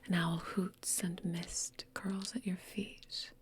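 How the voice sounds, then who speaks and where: A woman narrating a fantasy tabletop game as game master in a soft, unhurried ASMR whisper. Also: fairly low pitch, little pitch movement.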